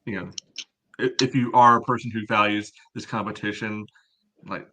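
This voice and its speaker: A man's voice: lowish voice, fairly even intonation, moderate pace, background noise. A man co-hosting a casual soccer podcast, giving opinionated, analytical takes in a conversational way. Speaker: podcast co-host.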